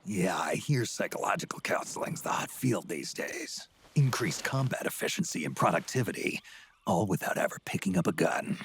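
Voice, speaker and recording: An actor playing a gruff, weary, sardonic older soldier in a video game, with an American accent and a gravelly rasp, terse and suspicious. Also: male voice, deep voice, animated, normal speed, a little hiss or hum. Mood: dry, sardonic. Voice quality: gravelly, raspy. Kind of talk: video game cutscene acting.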